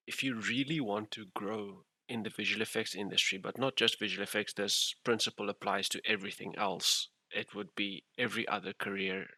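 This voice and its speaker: A man's voice: on the low side, bright, forceful tone, no echo. A man hosting a casual livestream interview, talking in loose run-on phrases and laughing often. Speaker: livestream host.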